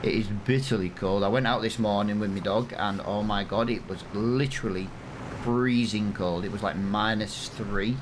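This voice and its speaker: A rambling, self-mocking English man in his thirties talks through his gameplay in a lowish voice. A steady air-conditioning hum runs clearly under his desk mic. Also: normal speed. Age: 30s. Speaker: gaming channel host. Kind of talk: casual let's-play commentary.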